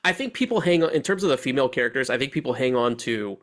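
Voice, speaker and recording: A man hosting a movie podcast, chatting casually and giving animated opinions with slang and swearing. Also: medium pitch, fast talker. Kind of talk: casual chat, film discussion.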